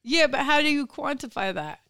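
A woman's voice: high-pitched, lively intonation, fairly quick, pushed and bright. A woman from southern Brazil with a Brazilian Portuguese accent, co-hosting a casual podcast and laughing often. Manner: giggly, laughs often between remarks. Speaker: podcast co-host and capoeira teacher.

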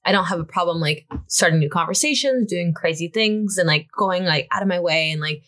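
A young woman with a fairly low voice co-hosts a podcast in loose, rambling chat. Her sentences wander and fill up with "like" as she works out her thoughts. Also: a little fast, fairly bright tone. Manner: rambling, many "like" fillers. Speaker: podcast co-host. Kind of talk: casual chat.

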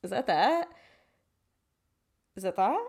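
A woman's voice: mid-range voice, sing-song, slow pace, vocal fry, darkish tone, breathy edge. A woman chatting as a podcast co-host. She talks informally and playfully, with an effusive streak, as if speaking with a close friend. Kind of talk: casual podcast chat.

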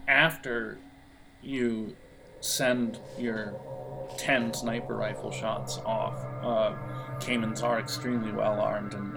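A man narrating an audio role-playing game as game master, halting as he thinks aloud. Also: fairly low pitch, gravelly.

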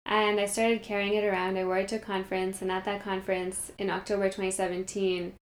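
A woman's voice: mid-range voice, level tone, fast talker, reverberant, slight background noise. A young woman from Kenya, a company founder, telling her story in an earnest interview with frequent ums. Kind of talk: conversational interview. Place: meditation studio.

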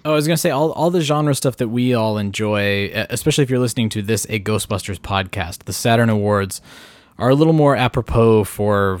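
A man's voice: lowish voice, dry recording. A man co-hosting a podcast late at night, tired from no sleep, rambling and restarting his sentences. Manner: rambling, restarts often, drawn-out phrasing. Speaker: podcast co-host. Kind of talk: casual podcast chat.

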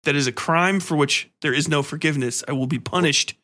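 Male podcast host, bright forceful voice on the higher side, chatty, sweary and animated. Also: a little fast. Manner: animated, freely profane, self-deprecating. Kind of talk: casual interview chat.

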